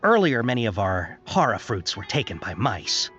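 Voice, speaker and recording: A cagey warehouse worker in an anime-style game, courteous and apologetic. His pitch swings very widely, with clear music behind a slightly muffled recording. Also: big pitch swings, moderate pace, fairly bright tone. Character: polite, cagey male bazaar warehouse worker.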